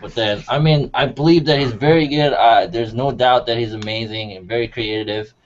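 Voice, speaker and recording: A man's voice: medium pitch, fairly even intonation, moderate pace. A man co-hosting a casual chat, talking in a slangy, sweary way. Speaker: co-host. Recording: muffled, faint room noise.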